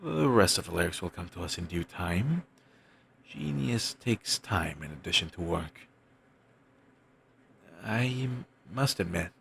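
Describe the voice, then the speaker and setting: A man playing a wistful, self-doubting wandering bard in an intimate audio roleplay, musing in courteous, formal phrases with thoughtful pauses and a gravelly, husky voice. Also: low voice, a bit bright, full character voice. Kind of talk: intimate one-sided audio roleplay acting.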